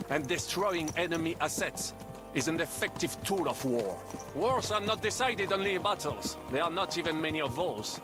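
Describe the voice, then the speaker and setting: A male actor plays a worldly French mercenary from a medieval video game. His voice sits fairly high and has a French accent, and he lectures pragmatically with French phrases mixed in. Music and horse hooves run clearly behind him. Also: close to an everyday voice. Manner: lecturing, matter-of-fact, peppered with French phrases.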